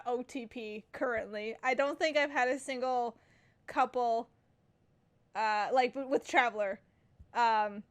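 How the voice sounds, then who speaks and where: Expressive young woman with a high voice. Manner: gushing, expressive, giggly. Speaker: gaming streamer. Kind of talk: casual game playthrough reaction commentary.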